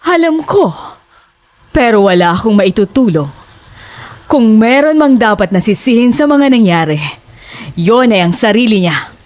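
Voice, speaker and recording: A woman's voice: on the high side, expressive, measured pace, pauses often, darkish tone. A woman playing a mysterious, unsympathetic older landlady in a horror audio drama, with an ominous, sly manner. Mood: defiant, blaming. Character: creepy, cryptic older landlady, defiant and unsympathetic.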